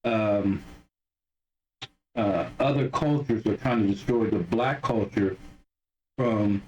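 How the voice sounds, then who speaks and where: A man co-hosting a podcast in casual conversation, halting and often restarting his sentences. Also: lowish voice, a little mellow, far from the mic, muffled recording. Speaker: podcast co-host.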